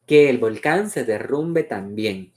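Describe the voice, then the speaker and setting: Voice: mid-range voice, expressive, slow pace, a little mellow. A story reader voicing a frightened young son, fearful and pleading. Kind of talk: storybook read-aloud dialogue.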